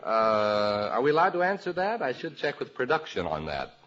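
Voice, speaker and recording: A man's voice: medium pitch, huge pitch swings, moderate pace, fairly bright tone. A man hosting a mid-century radio panel quiz, announcing in a formal, theatrical way with joking asides. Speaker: quiz show host. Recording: dull old radio recording.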